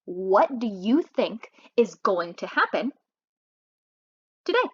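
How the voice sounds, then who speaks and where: A woman reading a children's story aloud in an expressive, animated way, like a storyteller. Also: medium pitch, slightly rough, muffled recording. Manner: animated, pauses to ask listeners questions. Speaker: read-aloud host, storyteller.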